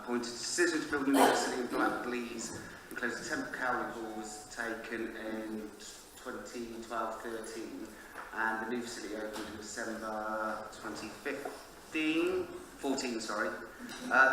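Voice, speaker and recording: A man giving an offhand, halting, informal reply as a councillor at a council meeting. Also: medium pitch. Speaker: city councillor. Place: large reverberant meeting hall. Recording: noisy echoey hall, distant mic.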